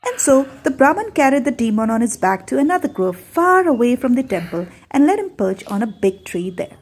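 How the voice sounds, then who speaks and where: High, animated Indian woman storyteller. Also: a little fast, husky. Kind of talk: expressive scripted narration of a folk tale.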